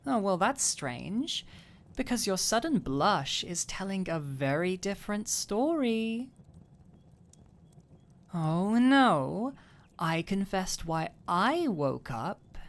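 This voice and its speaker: A woman playing a gentle, anxious fantasy alchemist with a teasing, tender streak in an intimate roleplay audio drama, speaking in a soft, hesitant murmur. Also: medium pitch, big pitch swings, slow. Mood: teasing, fond.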